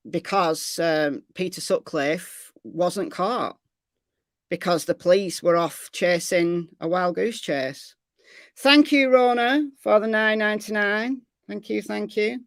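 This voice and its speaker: A woman's voice: lowish voice, no room sound, studio quality. A woman with a Lancashire accent, hosting a true crime livestream in a casual, chatty way and thanking viewers for donations. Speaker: true crime channel host.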